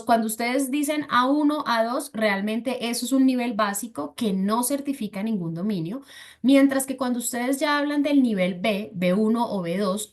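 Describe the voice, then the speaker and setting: A woman from Colombia, presenting a webinar for a scholarship foundation and explaining step by step with frequent fillers. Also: mid-range voice. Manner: explains patiently, with filler "entonces" and "eh".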